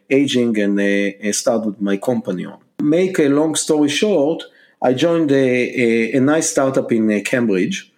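A man's voice: mid-range voice, darkish tone. Israeli-accented man, hesitant with fillers. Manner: measured, hesitant, many "uh" fillers. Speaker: scientist and company co-founder, guest. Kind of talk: podcast interview.

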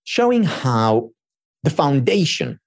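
A man's voice: medium pitch, very animated, slow, vocal fry, dry recording, clean studio sound. A man whose first language is Hebrew, a guest giving earnest explanations in an interview and often repeating words as he thinks. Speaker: guest expert. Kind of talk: thoughtful interview talk.

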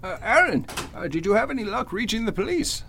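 A man plays a nervous, formal judge in a vintage-style radio thriller. The voice is high for a man and creaky, swinging dramatically up and down, with audible crickets and noise behind it. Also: sing-song, brisk, pushed and bright, heavily acted. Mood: uneasy. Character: anxious, formal male judge marked for death. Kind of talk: old-time radio mystery acting.

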